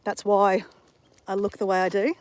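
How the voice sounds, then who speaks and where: A woman's voice: medium pitch, level tone, moderate pace. An Australian woman chatting casually to her channel while out walking, rambling with fillers and restarts. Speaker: vlogger. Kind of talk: casual walking vlog chat. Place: outdoors on a walk.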